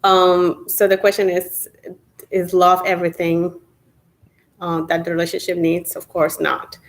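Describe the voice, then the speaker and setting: A woman's voice: fairly low pitch, completely flat delivery, a little slow. A woman from South Sudan, a panelist, answering plainly over a video call with some "um"s. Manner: straightforward, with "um" fillers.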